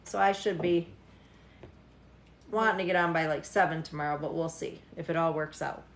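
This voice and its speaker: A woman's voice: low-pitched, fairly even intonation, moderate pace, vocal fry, reverberant, a little hiss or hum. A tired woman in her forties reading tarot live for viewers, chatting casually, teasing them and often laughing. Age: 40s. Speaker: tarot reader hosting a livestream. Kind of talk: casual livestream chat with viewers.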